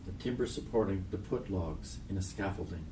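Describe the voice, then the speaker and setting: A man reading a literary poem aloud with deliberate, spare phrasing. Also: sits low, mellow, far from the mic, slight background noise, rough recording. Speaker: poetry reader.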